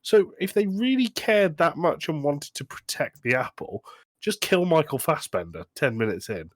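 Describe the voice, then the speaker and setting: A man's voice: a little high, sing-song, moderate pace, no room sound. A man co-hosting a podcast, talking casually and emphatically as he critiques a film. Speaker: podcast co-host. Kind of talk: casual film review chat.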